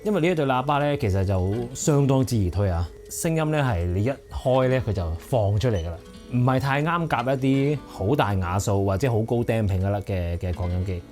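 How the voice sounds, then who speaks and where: A man's voice: mid-range voice, expressive, fairly quick, a little mellow, faint music behind. A man with a Hong Kong accent giving a conversational, explanatory product review as host. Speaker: audio equipment reviewer and host.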